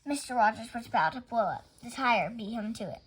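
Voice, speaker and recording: High-voiced woman reading a storybook. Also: expressive, fairly quick, a little hiss or hum, poor audio. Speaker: storytime reader and host. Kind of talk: children's picture-book read-aloud.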